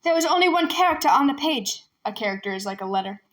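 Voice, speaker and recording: A humble young soldier from a poor village read by a woman, high in pitch. He speaks timidly and defers to his betters, in storybook style. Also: animated, quick pace, fairly bright tone. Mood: humble, nervous. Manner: deferential, modest.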